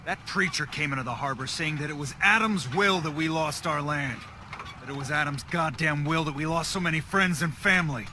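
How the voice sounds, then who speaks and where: A man's voice: fairly high pitch, fairly quick, noisy background, lightly acted. A man acting a blunt, hostile and bitter islander who sells guns in a video game. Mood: angry, accusing. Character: hot-headed, bitter islander gun seller, a grown man.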